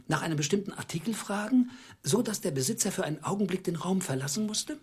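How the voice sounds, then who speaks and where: An actor playing a middle-aged, bitter clerk who speaks in a deliberate, accusing, sarcastic way in a radio drama. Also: male voice, high-pitched, expressive, husky, heavily acted. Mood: bitter accusation. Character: bitter, calculating small clerk confronting his treacherous wife.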